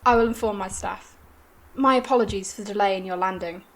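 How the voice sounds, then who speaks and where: A woman's voice: medium pitch, a little fast, natural voice. A woman playing a gracious but harried colony administrator in a science-fiction audio drama, formal and courteous.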